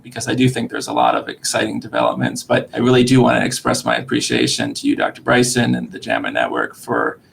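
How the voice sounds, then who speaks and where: A man speaking as a medical expert guest, explaining in a measured, articulate way with a gravelly, creaky voice. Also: mid-range voice, fairly bright tone. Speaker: surgeon-researcher guest. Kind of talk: podcast interview explanation.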